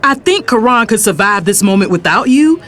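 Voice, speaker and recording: A woman's voice: on the high side, lively intonation, normal speed, a bit bright. A woman acting in an audio drama as a determined, righteous young lawyer with an American accent, earnest and no-nonsense. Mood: reproachful. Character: determined, righteous lawyer sister telling her story. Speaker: narrator and character. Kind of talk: first-person dramatic storytelling.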